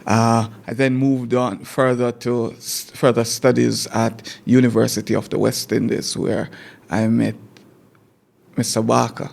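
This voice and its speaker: A Jamaican man in his forties talks through his past as a teacher, unhurried and reflective. His voice has a gravelly, creaky edge, and he often doubles back on a word while he gathers his thoughts. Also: mid-range voice, flat delivery, darkish tone. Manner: repeats words while recalling, measured storytelling. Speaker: guest teacher. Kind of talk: conversational interview.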